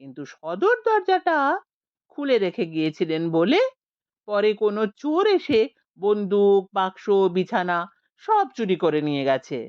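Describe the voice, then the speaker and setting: A woman's voice: mid-range voice, wildly swooping pitch, a little slow, clear, resonant tone, no echo, lo-fi sound, voice pushed down. A woman voicing an easygoing young village nephew who talks in a teasing, casual way.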